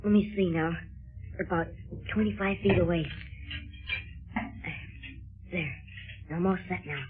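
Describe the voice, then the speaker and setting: A woman playing an eager, plucky teenage boy with an American accent, excitable and earnest, in a 1930s radio serial. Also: on the low side, a little slow, natural voice. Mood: curious, busy. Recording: scratchy narrow-band 1930s radio recording.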